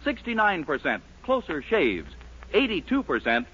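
An American man announcing in 1940s radio style, reading scripted ads and narration with polished, emphatic salesmanship and a husky voice. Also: a little high, wildly swooping pitch, moderate pace, bright, forceful tone, a little hiss or hum. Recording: lo-fi vintage 1940s radio broadcast.

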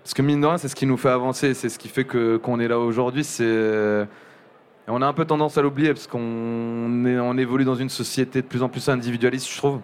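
Young male interviewee, relaxed and hesitant, resonant voice. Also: medium pitch, smooth. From Montpellier area. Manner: halting, many restarts and fillers. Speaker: journalist guest. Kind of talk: casual interview talk.

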